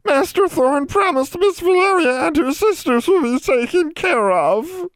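A man's voice: very high voice, animated, unhurried, no room sound, pitched above the performer's own voice. A man voicing a weepy old female house elf who sobs, wails and talks about herself in the third person. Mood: wailing.

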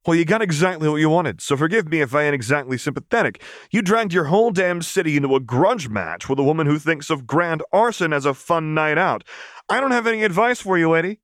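A brash young woman enforcer from an audiobook tale, played by a man. The voice is lifted well above a man's usual talk and pushed louder. She is crass, sarcastic and slangy, with no patience for niceties. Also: on the high side, animated, fairly quick, no room sound, studio quality, pitched above the performer's own voice. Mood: exasperated, snapping.

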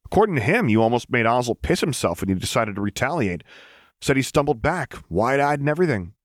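Man putting on eager, high-pitched gossiping soldier. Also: sing-song, fast talker, no room sound, clean studio sound, close to an everyday voice. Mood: eager, protesting. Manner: incredulous, insistent. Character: Orchie, eager gossiping male clone trooper. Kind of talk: audiobook character dialogue.

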